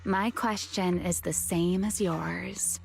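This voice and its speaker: A woman's voice: lowish voice, steady tone, vocal fry, faint music behind. A woman playing a teasing, secretive, long-lived huntress in a video game, coy and poised. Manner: coy, playful, unhurried teasing. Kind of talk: video game story voice acting.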